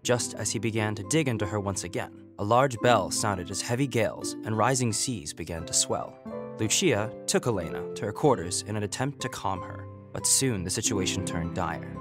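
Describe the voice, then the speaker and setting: A man's voice: on the low side, normal speed. A man narrating a scripted recap for a period audio drama in a storytelling style. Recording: plucked guitar music under the voice.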